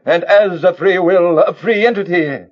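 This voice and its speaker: Casting: grave alien leader-scientist, high voice, theatrical declamation, old sci-fi radio serial with dull lo-fi sound. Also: male voice, moderate pace. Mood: solemn. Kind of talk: old-time radio sci-fi drama acting.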